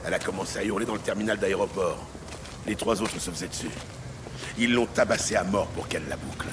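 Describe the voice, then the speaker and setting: An actor playing a hard, cynical cop who swears and talks in street slang in a French audio drama. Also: male voice, low-pitched, fast talker, background noise, natural voice. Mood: grim. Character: hard, defiant cop, cynical and menacing when crossed. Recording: loud music behind the voice.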